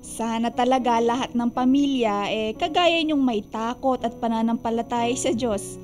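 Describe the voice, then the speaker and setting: An actress playing a friendly, pious older neighbour in a Filipino radio drama, effusive and cheerful. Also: female voice, fairly high pitch, moderate pace, a little mellow, faint music behind, close to an everyday voice. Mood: gushing, admiring. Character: friendly, pious older neighbourhood churchgoing lady, gushing praise.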